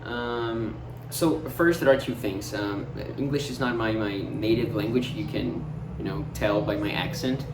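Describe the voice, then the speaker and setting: A man's voice: fairly low pitch, unhurried, few pauses. A young man with a Brazilian Portuguese accent, a native Portuguese speaker musing casually to camera, hesitant and full of fillers and restarts. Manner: hesitant, many fillers and restarts, searching for words. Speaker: vlogger. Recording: roomy echo, distant mic, noticeable noise.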